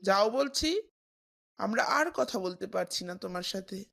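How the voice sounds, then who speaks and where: Woman doing a rude gravelly cartoon boy. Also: mid-range voice, animated, a little slow, a bit bright, a little hiss or hum. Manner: curt, rude. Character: rude, dismissive neighbor boy.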